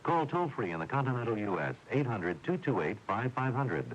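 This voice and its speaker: A man's voice: medium pitch, big pitch swings, brisk, dull audio. An American man reading a scripted radio advertisement as a commercial announcer. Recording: faintly hissy old radio recording.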